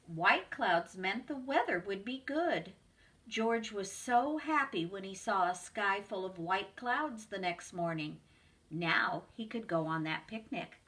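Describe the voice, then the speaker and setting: A woman, a preschool teacher, reading a picture book aloud to children in a slow, expressive storytelling voice. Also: on the high side.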